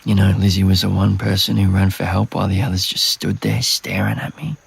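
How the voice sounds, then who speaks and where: A low, gravelly young man in an audio drama. He sounds troubled and unsure of himself, talking casually with a self-deprecating edge and a husky rasp. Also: fairly even intonation, a little fast, no echo, heavily acted. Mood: rueful. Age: young adult. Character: troubled, self-doubting young man haunted by his brother. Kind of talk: naturalistic audio drama acting.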